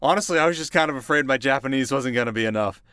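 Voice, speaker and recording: A man playing a cocky but nervous and eager teenage delinquent boy in an audio roleplay, talking in a casual, animated, earnest way. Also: mid-range voice, very fast, clean tone, no echo, studio quality, close to an everyday voice. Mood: relieved.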